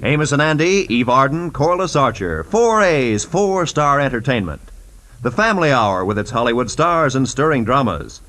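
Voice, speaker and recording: A man's voice: medium pitch, big pitch swings, normal speed, a bit bright. A man with an American accent announcing on 1940s radio in a polished, emphatic promotional style.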